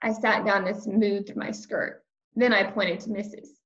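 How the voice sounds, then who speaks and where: A woman reading a children's chapter book aloud in an expressive storytelling style. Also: mid-range voice, a little slow, muffled recording. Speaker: storybook reader.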